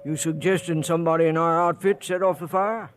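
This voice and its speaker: A man playing a nosy old American cowhand with a high-pitched voice. He is folksy and needling, in a lightly noisy vintage radio western. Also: steady tone, a little fast, natural voice. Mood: curious. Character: nosy, wry old cowhand sidekick, male.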